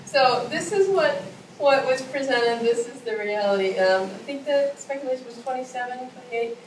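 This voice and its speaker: A woman in her thirties talks about herself in a chatty, self-deprecating way, full of little pauses and fillers. Her voice sits slightly high. She is heard from a distance in a reverberant, noisy room. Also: expressive. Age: 30s. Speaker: workshop participant. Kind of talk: casual personal sharing. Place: conference workshop room.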